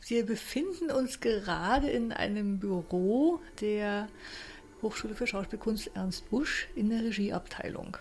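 Female directing lecturer, documentary self-introduction recorded in an office, noticeable vocal fry. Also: mid-range voice, lively intonation, measured pace. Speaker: drama school directing lecturer. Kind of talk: spoken self-introduction for a documentary radio play.

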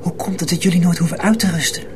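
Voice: medium pitch, fairly even intonation, fast talker, noisy background, lightly acted. A performer playing a naive, puzzled young boy apprentice in a radio drama, plaintive and questioning. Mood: bewildered.

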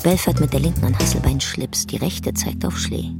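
A man's voice: mid-range voice, moderate pace, creaky, over loud music. A man narrating the stage directions of a radio drama in a dramatic scripted delivery.

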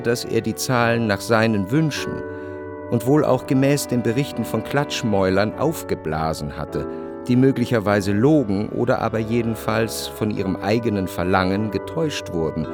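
A man playing a reflective literary narrator in a radio play. His medium-pitched voice recounts things in measured, analytical phrases, with music clearly audible underneath. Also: close to an everyday voice. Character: reflective, observant male first-person literary narrator. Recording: clear musical backing behind the voice.

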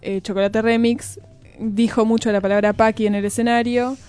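A woman's voice: a little high, little pitch movement, moderate pace, a little mellow, clear, resonant tone, soft background music. A woman with an Argentine accent hosting a casual radio chat in a reflective, giggly manner. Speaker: radio show host.

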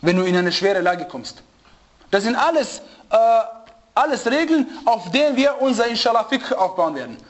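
Male religious teacher, high voice, emphatic, repeats points and quizzes his class. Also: sing-song, moderate pace, lo-fi sound. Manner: emphatic, repeats key phrases, asks rhetorical questions; searches for German words and asks students. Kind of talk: religious lesson to students.

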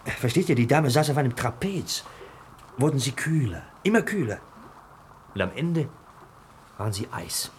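Obsessed brooding dreamer in an old radio play, pitch all over the place, bit of noise. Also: male voice. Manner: theatrical, fragmented, brooding delivery. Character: obsessed, restless dreamer retelling a circus story. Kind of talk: German radio play acting.

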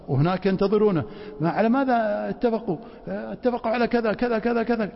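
Gravelly man teaching in a mosque. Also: high voice. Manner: quizzes the class with rhetorical prompts. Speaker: Islamic law teacher. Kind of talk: classroom lecture with questions to students. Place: mosque study circle. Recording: dull lo-fi recording in a reverberant hall.

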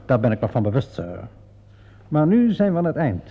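A man's voice: medium pitch, sing-song, moderate pace, husky. A man acting a shrewd, theatrical police inspector in a radio play, smugly sure of himself and politely insistent. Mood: calm, pressing. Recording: muffled old radio play recording.

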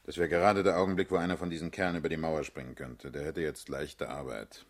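An actor playing a blunt, world-weary, middle-aged male party leader in a German radio drama, with a husky, rough voice. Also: very deep voice, fairly even intonation, fast. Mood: tense, urgent. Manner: blunt, paternal, brusque.

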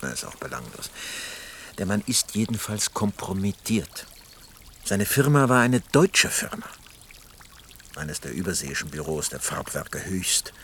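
A man's voice: low-pitched, sing-song, measured pace, a bit bright, a little hiss or hum, full character voice. A man acting in a radio play as a cautious, sceptical member of parliament, formal and dismissive. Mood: suspicious.

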